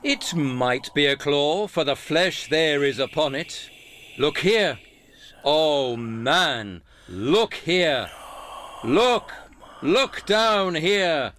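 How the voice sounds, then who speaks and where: An actor playing a solemn, dying Christmas spirit giving stern warnings in a theatrical, commanding radio play manner. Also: male voice, a little high, expressive, drawn-out words, a bit bright, slight background noise, heavily acted.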